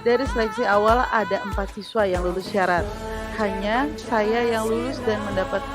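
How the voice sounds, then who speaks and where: A woman in her thirties, a teacher-training candidate, reading a prepared assignment in a measured, formal way. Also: mid-range voice. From Indonesia. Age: 30s. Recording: loud background music. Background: loud music.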